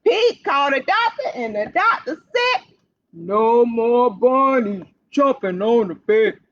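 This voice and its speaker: A woman hosting storytime for young children, reading aloud in an animated, sing-song way and putting questions straight to the kids. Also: fairly high pitch, takes it slowly, slight background noise. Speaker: library storytime host for children. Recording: dull, lo-fi livestream sound.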